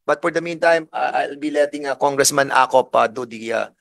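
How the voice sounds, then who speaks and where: A man's voice: mid-range voice, fairly quick, gravelly, a bit bright, no room sound. A Filipino man chairing a congressional hearing, questioning a witness sternly in English and Tagalog. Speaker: committee chair.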